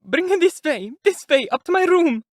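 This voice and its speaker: A woman's voice: extremely high pitch, big pitch swings, normal speed, no echo, studio quality, voice pushed up. A man voicing an anxious young woman, urgently begging people for help in a storybook reading. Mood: pleading.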